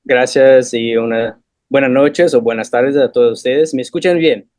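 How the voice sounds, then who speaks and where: Man w portuguese accent reading his lecture, dry close sound, mid pitch, video call. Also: a little mellow, no echo. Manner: measured, questioning delivery in Spanish mixed with Portuguese. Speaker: guest lecturer.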